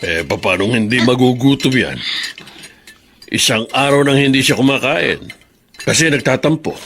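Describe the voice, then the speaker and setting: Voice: mid-range voice, moderate pace, a little hiss or hum, lightly acted. An actor playing a stubborn, wounded elderly grandparent in a melodramatic radio drama, scolding and emotional with a Filipino accent. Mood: dry, calmer. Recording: loud dramatic music underneath.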